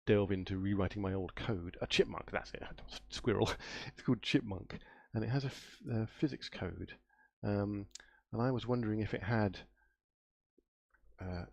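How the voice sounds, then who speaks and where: A man's voice: lowish voice, gravelly, a little mellow, breathy edge, no echo. A man with a nasal voice from a cold, live-streaming his game coding and thinking aloud, muttering and trailing off as he searches for things. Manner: muttering to himself, trailing off and spelling words out while searching.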